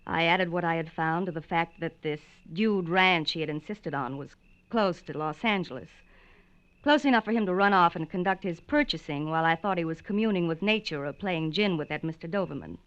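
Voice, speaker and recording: An actress playing a tough-minded, bitter wife in a 1940s radio drama, hard-edged and controlled. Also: female voice, on the low side, brisk, clean tone, lightly acted. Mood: cold, frank. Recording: muffled vintage radio recording.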